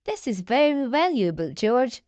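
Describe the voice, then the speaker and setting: Woman as elderly grandpa pig, kindly, practical, animated intonation, dry storybook reading. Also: fairly high pitch, a little slow, dry recording.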